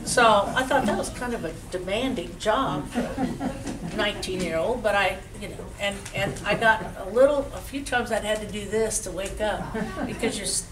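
An older woman, a retired teacher with a loud voice, chattily recounting memories to an audience at a community museum talk. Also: mid-range voice, moderate pace. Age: 70s. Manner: chatty, animated storyteller with gestures. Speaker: guest speaker. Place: community museum meeting room, indoors. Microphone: handheld mic, far camera. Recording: roomy, distant camera audio.

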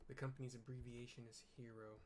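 A man's voice: medium pitch, level tone, fast talker, noisy background. A middle-aged man giving casual, rambling commentary as he streams a game. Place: small room at home. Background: game music.

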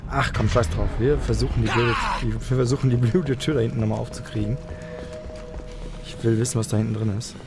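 A man hosts a let's play in a casual, chatty way. His voice sits at an ordinary pitch with a lot of fry in it. Game music plays behind him. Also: steady tone, brisk. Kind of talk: casual gameplay commentary. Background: video game music.

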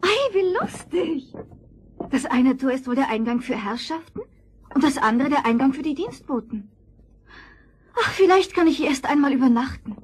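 An actress playing a gentle, meek orphan girl in a fairy-tale audio play, sweet and earnest. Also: high-pitched, expressive, moderate pace, a little hiss or hum. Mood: hopeful. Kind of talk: German fairy-tale audio drama acting.

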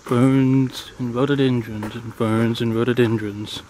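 A middle-aged man casually narrating a hike on a wooded lakeside trail in a relaxed, admiring way, recorded on a handheld camera. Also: mid-range voice, unhurried, low-quality recording. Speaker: hiking vlogger. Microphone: handheld camera mic, speaker off camera. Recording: faint outdoor noise.

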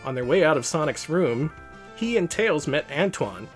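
Animated man narrating a storybook. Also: fairly high pitch, moderate pace, soft background music. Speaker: host reading a picture book.

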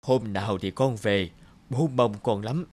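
A man playing a humble, middle-aged country father in a cartoon, speaking gently and haltingly. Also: on the low side, no echo. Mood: wistful, concerned. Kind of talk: cartoon dialogue acting.

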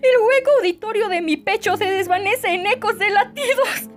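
A woman's voice: very high voice, normal speed, soft background music. A woman with a Latin American accent playing an anguished young woman confessing to her mother, in an emotive, pleading spoken-word delivery. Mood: tearful, weary. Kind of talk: dramatic spoken-word poetry performance, fan dub.

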